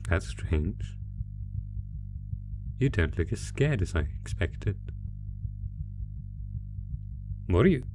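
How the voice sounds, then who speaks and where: A man's voice: low voice, heavily acted. A man playing an ancient, predatory monster who theatrically taunts human prey in a horror audio roleplay. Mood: puzzled, startled. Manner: theatrical, savoring, taunting, drawn-out phrasing.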